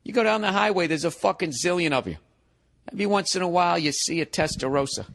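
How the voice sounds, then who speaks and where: A man in his forties hosts a comedy podcast, mocking a listener's email. His voice sits high for a man, and the talk stays sarcastic, exasperated and heavy on profanity. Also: lively intonation, quick pace, a bit bright. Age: 40s. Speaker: podcast host.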